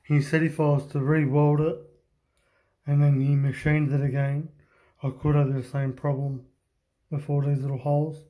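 A man, talking casually and haltingly about his workshop repair, with many pauses and ums, filmed close up on a handheld phone in an indoor workshop. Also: a little high, flat delivery, warm tone, low-quality recording. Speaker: hobby boat mechanic. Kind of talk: casual workshop show-and-tell. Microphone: handheld phone camera, close-up.

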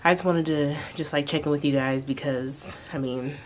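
A woman's voice: deep voice, moderate pace, heavy background noise. A young woman vlogging casually from her bedroom, talking close to the camera and rambling with lots of "like" and "um". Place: bedroom at home, indoors. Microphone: camera close in front of her face.

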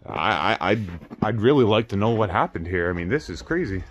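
Low-pitched man vlogging over outdoor noise. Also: lively intonation, a little fast. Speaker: exploration vlogger. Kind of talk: casual off-the-cuff walking commentary. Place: outdoors in snowy burned-out house ruins. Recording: clearly noisy outdoor recording with clicks.

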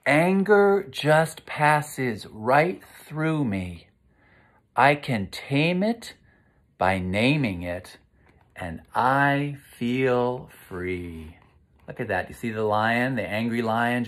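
A middle-aged American man reading aloud and gently guiding children, explaining things and putting questions to his listeners. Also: medium pitch, expressive, slow. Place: small living room, indoors.

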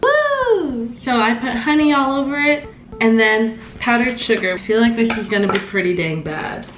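A woman's voice: a little high, very animated, moderate pace, fairly bright tone, faint music behind. Young woman, bubbly, self-mocking, home kitchen, roomy echo. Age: young adult. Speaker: vlogger. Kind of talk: casual cooking vlog.

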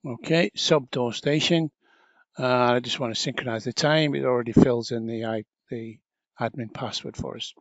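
Male trainer, medium pitch, informal step-by-step explainer delivery, dry lo-fi voice-over recording. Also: moderate pace, no room sound. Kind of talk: casual step-by-step software tutorial narration.

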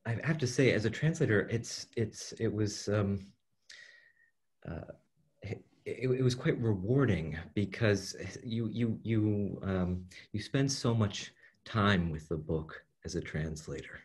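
Deep-voiced man, hesitant on a video call. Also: unhurried, a little mellow, husky. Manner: hesitant, many fillers and restarts. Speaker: translator guest. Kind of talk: casual conversational talk. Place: room at home with bookshelves.

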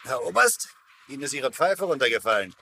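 A quick-witted captive colonel in a sitcom. He sounds cocky and wry, the born ringleader. His pitch is medium and moves freely. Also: very animated, creaky, sharp tone, slight background noise, exaggerated voice. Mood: wry, cool. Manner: wry, confident. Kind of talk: dubbed sitcom banter.

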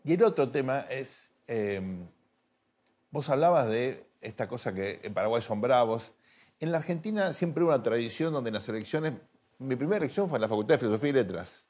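A middle-aged Argentine man hosts a talk show in a TV studio, speaking into a lapel mic. His voice sits at a mid pitch and has a husky edge. He asks probing questions in a conversational way. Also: animated, fairly quick, a little mellow. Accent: Rioplatense Spanish. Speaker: host.

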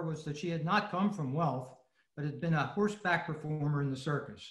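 A man giving a prepared lecture as presenter on a video call. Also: on the high side. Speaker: presenter at an old-time radio club meeting. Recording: roomy, echoey video-call sound.

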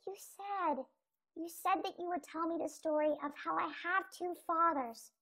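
A woman's voice: very high-pitched, moderate pace, pushed and bright, exaggerated voice. A woman acting a curious, pleading little daughter at bedtime in a radio play.

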